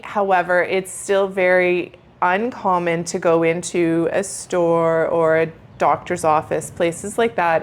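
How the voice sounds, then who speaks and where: Middle-aged female co-host from Canada, upbeat, easy laugh, low-ish voice. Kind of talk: casual conversational travel chat.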